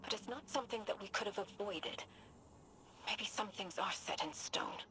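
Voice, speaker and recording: An actress in a video game speaking in a weary, resigned way. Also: female voice, medium pitch, moderate pace, background noise, close to an everyday voice.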